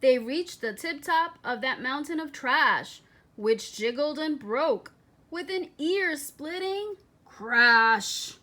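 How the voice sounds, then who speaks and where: High-voiced woman reading slowly to children. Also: animated, sharp tone. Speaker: storyteller reading aloud. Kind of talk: children's picture book read-aloud.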